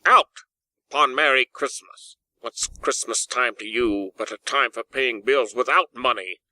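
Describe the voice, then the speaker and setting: A man's voice: mid-range voice, a little slow, sharp tone, no room sound, poor audio. A man voicing a grumpy, miserly old uncle in an audiobook, stern and indignant in manner. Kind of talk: audiobook character acting.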